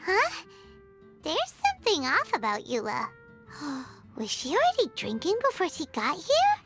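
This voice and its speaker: A woman voicing a tiny, excitable, childlike fairy companion in a video game, chirpy in manner. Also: high-pitched, a little slow, exaggerated voice. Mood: worried, curious. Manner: refers to self in third person, chirpy. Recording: music behind the voice. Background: background music.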